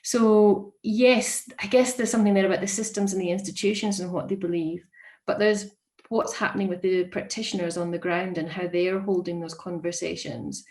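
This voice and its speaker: A woman's voice: on the low side, steady tone, normal speed, a little mellow. A woman who works as a social worker, joining a webinar panel over a video call and talking in a chatty way with many ums and self-corrections.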